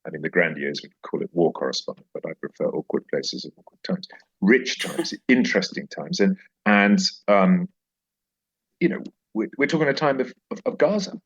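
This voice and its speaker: A man's voice: low voice, sing-song, moderate pace, slightly rough, muffled recording. A man speaking reflectively as an interview guest, emphatic and repeating phrases for effect. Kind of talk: reflective interview conversation with recited verse.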